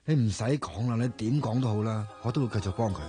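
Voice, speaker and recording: An actor playing a stubborn, self-doubting young decorator who talks gruffly in Hong Kong Cantonese. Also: male voice, fairly low pitch, fast talker, warm tone, lightly acted. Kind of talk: radio drama acting.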